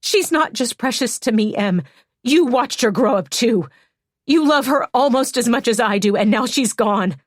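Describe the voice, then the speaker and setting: A woman narrator voicing a furious adult woman who yells and curses in relentless accusations. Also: sits low, a little slow, hardly any pauses, husky, no echo, clean studio sound. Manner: yelling, cursing, relentless accusations.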